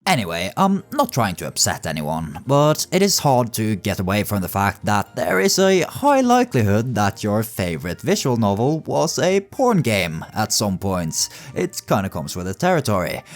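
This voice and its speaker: A man's voice: fairly low pitch, huge pitch swings, moderate pace, hardly any pauses. A man narrating casual scripted video commentary in a wry, sardonic, sweary way. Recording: music behind the voice. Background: background music.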